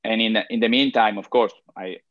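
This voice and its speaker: An Italian-accented man speaking as an interview guest, with a somewhat low voice. He talks haltingly, searching for words. The sound is a little dull and very dry. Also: fairly even intonation, a bit bright, no echo. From Italy. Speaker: interview guest, chamber of commerce president. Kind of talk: conversational interview answers.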